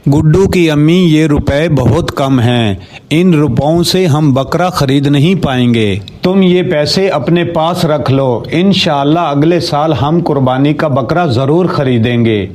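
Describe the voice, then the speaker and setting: Fairly high-voiced patient poor father played by a man. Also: unhurried, darkish tone, close to an everyday voice. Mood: gently firm. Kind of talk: cartoon story dialogue.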